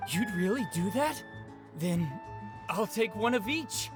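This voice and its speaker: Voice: mid-range voice, animated, takes it slowly, music in the background. An actor playing a gullible young customer in a video game, casual and hesitant. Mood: grateful.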